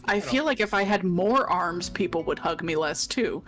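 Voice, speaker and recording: Wry woman bantering over clear music. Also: lowish voice, animated, moderate pace, fairly bright tone. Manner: dry, playfully sarcastic. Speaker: tabletop game player. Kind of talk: casual banter in live play.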